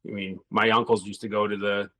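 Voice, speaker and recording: Laid-back low-voiced male ex-hockey player. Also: flat delivery, fairly quick, sharp tone. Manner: rambling, laid-back, swears casually. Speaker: guest, former hockey player. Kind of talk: casual interview storytelling.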